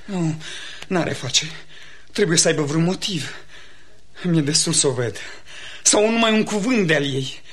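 A lovesick young gentleman in a radio play. He speaks with melodramatic passion, anxious and disbelieving, in an openly theatrical style. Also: a little high, animated, pauses often. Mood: despairing. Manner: impassioned, melodramatic. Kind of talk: theatrical radio comedy acting.

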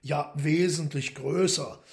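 Radio drama devil: commanding and ironic, measured formal delivery, gravelly fry in a higher male register. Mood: cool, ironic. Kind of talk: German radio play acting.

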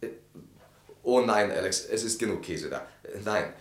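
Actor as a flustered husband, roomy low voice. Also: male voice, lively intonation, normal speed, close to an everyday voice. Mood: flustered, polite. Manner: hesitant, flustered. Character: harried, evasive husband, flustered and weary. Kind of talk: German radio drama acting. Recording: roomy studio radio play sound.

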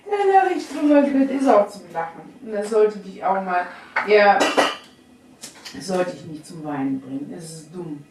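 A middle-aged or older woman in candid personal conversation, speaking in a reflective, halting way. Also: mid-range voice, expressive, very noisy. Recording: echoey room, distant from mic.

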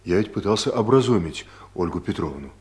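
A man's voice: sits low, expressive, fairly quick, darkish tone. An actor playing a polite, conciliatory male office deputy who justifies himself deferentially, in a radio play. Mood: defensive. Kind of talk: Soviet radio play acting.

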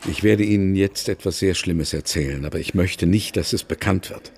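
A man playing an older, haunted novelist who confides in a measured way in a German radio drama. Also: low voice, breathy edge. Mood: grave, confiding. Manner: measured, confessional storytelling.

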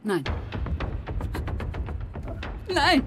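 A woman's voice: measured pace, music in the background, lightly acted. A woman playing a defiant, blunt girlfriend of a petty criminal in a naturalistic radio drama, talking colloquially. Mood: distraught, angry. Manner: blunt, colloquial, evasive under questioning.

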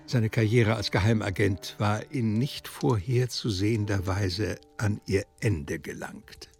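An actor as a calm, omniscient storyteller, reading literary narration deliberately in a German radio drama. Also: male voice, lowish voice, creaky. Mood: grave, measured.

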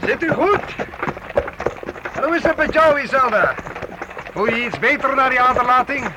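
A man's voice: very high-pitched, normal speed. An actor playing a hearty, frank young nobleman knight in a period radio play. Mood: hearty, commanding. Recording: very noisy old radio drama recording.

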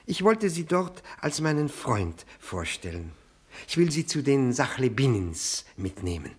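Radio drama role: obsequious, self-abasing widower, lowish husky voice, hesitant, stammering, pleading. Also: male voice, sing-song, a little slow. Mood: humble, pleading. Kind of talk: 1960s German radio play acting.

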